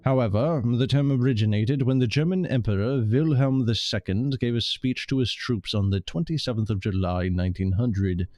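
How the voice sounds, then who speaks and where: A man giving casual, slightly hesitant commentary as he streams a game, with a creaky voice. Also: mid-range voice, moderate pace, few pauses, darkish tone, dry recording. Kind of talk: casual game commentary, reading on-screen text aloud.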